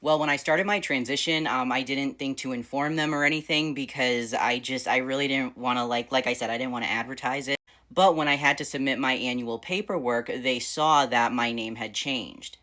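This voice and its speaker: A man in his thirties with a fairly high, bright voice that has a crisp edge. He talks to camera in a casual, bubbly way. His storytelling is animated and lively. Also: moderate pace. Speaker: vlogger answering viewer questions.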